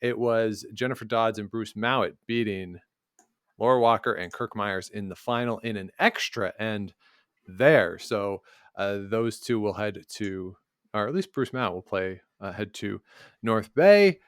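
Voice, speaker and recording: A man's voice: fairly low pitch, unhurried, no room sound, clean studio sound. A man co-hosting a sports podcast, chatting casually and rambling, often correcting himself mid-sentence. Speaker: podcast co-host.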